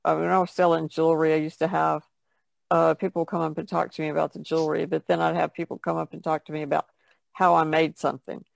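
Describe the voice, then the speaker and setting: A woman's voice: sits low, little pitch movement, quick pace, creaky, dry recording. A woman chatting casually as a podcast guest on an online call, plain-spoken and matter-of-fact. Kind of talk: casual chat.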